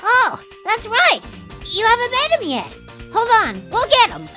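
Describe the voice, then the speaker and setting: A performer voicing an excitable, cheerful little boy bee in a bubbly, exclaiming way for a cartoon comic dub. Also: very high voice, a little slow, faint music behind, exaggerated voice. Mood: excited. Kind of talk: comic book fan dub cartoon acting.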